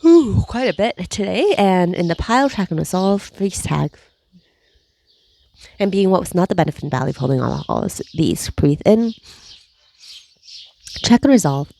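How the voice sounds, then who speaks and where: A woman leading a guided energy clearing session, speaking slowly and soothingly, with repeated cues and breathy sighs. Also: fairly low pitch, lively intonation, warm tone. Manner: slow, soothing, repetitive guiding with breathy sighs. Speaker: energy healing facilitator.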